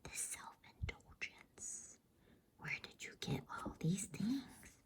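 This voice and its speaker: A woman's voice: slow, natural voice. A woman voicing a cruel, haughty witch queen in a whispered bedtime storybook reading. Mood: scornful, angry. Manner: commanding, haughty. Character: imperious, cruel witch queen, cold and accusing.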